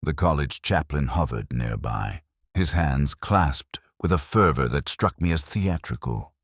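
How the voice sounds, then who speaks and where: A man narrating a literary detective story in the first person, in a measured, brooding and formal manner. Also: a bass voice, animated, breathy edge, no echo, clean studio sound.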